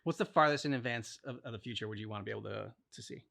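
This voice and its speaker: A man chatting casually as co-host of a reaction channel, thinking out loud in a rambling way peppered with "like". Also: medium pitch, rapid-fire, vocal fry. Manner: rambling, speculative, heavy use of "like". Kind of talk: casual chat, reacting to game cutscenes.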